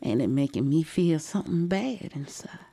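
A woman voicing a curious, tender young granddaughter, telling her story in hushed, wondering dialect. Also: low voice, animated, normal speed, no echo. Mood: sad, tender. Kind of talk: dramatic audio storytelling.

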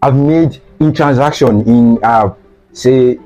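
Young Nigerian man, earnest interview guest, dark mellow tone, slightly lo-fi, faint music behind. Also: medium pitch, slow. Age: young adult. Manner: earnest, repeats phrases for emphasis. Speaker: guest, student sweet seller. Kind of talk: casual interview talk. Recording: slightly dull lo-fi sound.

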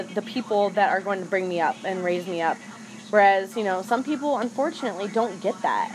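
A woman hosting a casual podcast chat with friends, talking earnestly and filling her speech with "like". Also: mid-range voice. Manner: earnest, heavy use of "like". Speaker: podcast host. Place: outdoor community venue. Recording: noisy background ambience.

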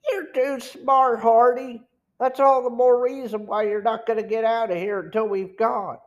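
Man voicing a sour, menacing smuggler boss, pitched up. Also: level tone, moderate pace. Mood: threatening, uneasy. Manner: threatening, pressing, sneering. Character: sour, menacing male smuggler gang chief. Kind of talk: audiobook villain dialogue.

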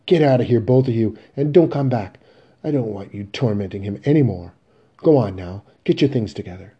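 A man's voice: medium pitch, moderate pace, breathy edge, muffled recording, pitched above the performer's own voice, lightly acted. A man voicing a furious, wounded mother who scolds her grown sons in an emphatic, commanding way.

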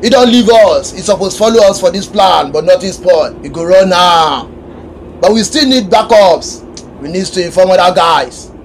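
A man plays a young Nigerian gang boss in a radio drama, high-pitched, brash and greedy. He barks Pidgin bossily over faint street and vehicle noise. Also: animated, moderate pace, poor audio. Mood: bossy, impatient. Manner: emphatic, bossy.

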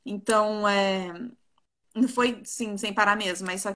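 A woman's voice: medium pitch, steady tone, measured pace, pushed and bright. A woman, chatting casually and a little giggly as an interview guest on a video call. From Brazil, partly raised in South Korea. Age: 20s. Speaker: interview guest, professional flautist.

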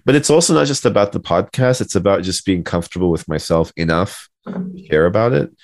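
A man's voice: sits low, lively intonation, brisk, no echo. A man talking casually as a podcast co-host. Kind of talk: casual chat.

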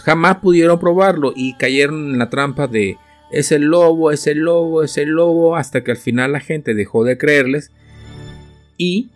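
A man who grew up in Venezuela, hosting a solo opinion podcast and talking it through off the cuff, pausing and restarting as he hunts for words. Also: medium pitch. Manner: unscripted and searching, with restarts and filler pauses. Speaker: podcast host.

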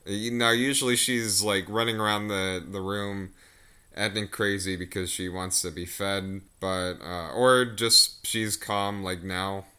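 A lower-pitched man with a bright, smooth voice speaking over a video call. He talks casually and loosely as an interviewer, circling through restarts and tangents before he lands a question. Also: fairly even intonation, a little slow. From United States. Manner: rambling, with many restarts and trailing asides. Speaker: interviewer, host. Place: room at home with a cat.

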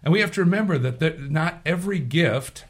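Hoarse, husky man giving a devotional. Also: on the high side, expressive, fairly quick. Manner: earnest, repeats words while thinking. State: hoarse from allergies. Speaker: pastor. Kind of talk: devotional sermon and prayer.

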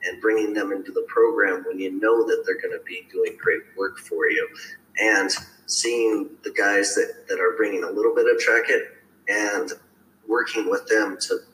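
A man with a raspy voice, a guest talking casually in an easygoing, upbeat way. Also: fairly low pitch, steady tone, moderate pace, pushed and bright. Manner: easygoing, upbeat, many "uh" fillers. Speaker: guest, affiliate marketing coach. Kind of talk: casual interview talk. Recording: roomy echo, faint background hiss.

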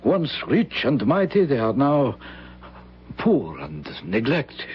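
An actor playing a gentle, grave older priest in an old-time radio drama, measured and fatherly. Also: medium pitch, huge pitch swings, slightly rough, full character voice. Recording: dull, muffled vintage radio recording.

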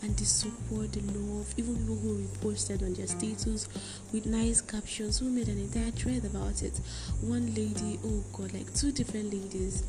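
A young woman hosting her own podcast late at night, talking softly and often whispering, earnest and grateful as she chats casually. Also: medium pitch, flat delivery, moderate pace, music in the background, low-quality recording. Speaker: podcast host. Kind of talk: casual solo podcast chat.

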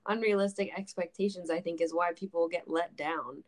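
A woman's voice: lowish voice, steady tone, brisk. A woman in her mid-twenties chatting casually and candidly on a podcast, blunt and self-deprecating, swearing freely. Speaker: podcast co-host.

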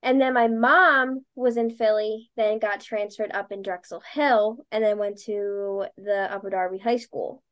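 A young woman from Delaware County with a Delco accent, chatting loudly as an interview guest and filling her talk with "like.". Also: mid-range voice, fairly even intonation, unhurried, hardly any pauses. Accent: Delco (Philadelphia area). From Delaware County, Pennsylvania. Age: young adult. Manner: loud, talkative, lots of "like". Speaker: guest, dancer and podcaster. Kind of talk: casual interview chat.

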